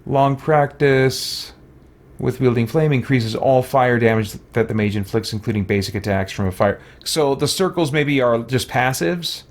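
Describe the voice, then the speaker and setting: A man hosts a gaming playthrough in a medium-pitched voice. He talks casually, thinking aloud and muttering to himself. He moves between reading on-screen text and offering his own offhand musings. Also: normal speed. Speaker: let's play host.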